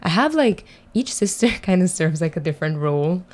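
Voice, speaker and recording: A woman's voice: fairly low pitch, animated, fairly quick. A woman in her twenties from Guyana with a Guyanese accent, chatting easily as an interview guest and laughing often. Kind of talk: casual interview chat.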